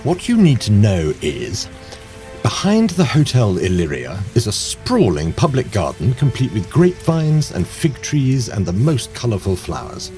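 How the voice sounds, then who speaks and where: A man narrating an audio drama as a knowing, conspiratorial storyteller. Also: on the low side. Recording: music bed behind the voice.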